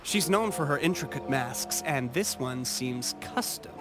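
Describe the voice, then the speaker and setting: An actor playing an eager, polite gentleman in a video game, with an excitable, theatrical manner. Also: medium pitch, measured pace, music in the background, natural voice. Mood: earnest, thoughtful. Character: eager, apologetic gentleman who records events on film. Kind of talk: video game character acting.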